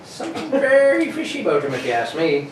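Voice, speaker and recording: A woman's voice: mid-range voice, wildly swooping pitch, fast. A woman playing a plain-spoken, deferential police sergeant, colloquial and matter-of-fact, in an old radio mystery. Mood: gossipy, suspicious. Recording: roomy, distant, faintly noisy recording.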